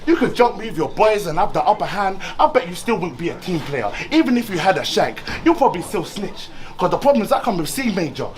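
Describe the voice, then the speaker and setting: A man performing battle rap verses in a mocking, animated way. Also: high voice, quick pace, few pauses. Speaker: battle rapper.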